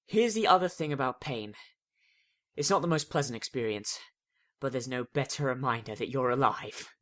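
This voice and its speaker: A theatrical, expressive reader narrating a fan fiction story in a dramatic audiobook style. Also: mid-range voice, moderate pace.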